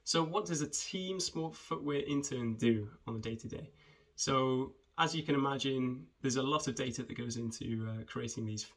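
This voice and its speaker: A man, talking in a friendly, conversational way with hesitant pauses as he introduces himself and his work for students. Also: mid-range voice. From rural southwest Wales. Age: 20s. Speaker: junior footwear developer. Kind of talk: informal self-introduction video for students.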